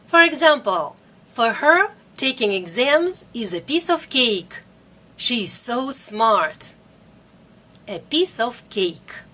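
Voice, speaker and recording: A middle-aged woman teaches English to learners. Her voice sits a little high and rises and falls a great deal. She speaks slowly and repeats each phrase clearly. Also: sing-song, pushed and bright, slight background noise. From Russia. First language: Russian. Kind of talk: slow, careful video lesson.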